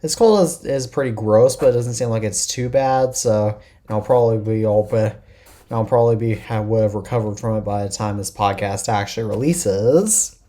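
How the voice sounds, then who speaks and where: A man's voice: fairly low pitch, moderate pace, warm tone. Man hosting with a cold. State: has a cold. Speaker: podcast host. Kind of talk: casual tech podcast chat.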